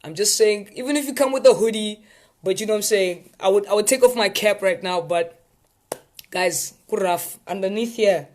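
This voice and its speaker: A man's voice: high voice, moderate pace. A man with a South African accent hosting a casual live session for viewers, talking in a rambling, playful way. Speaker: host of a live tips session.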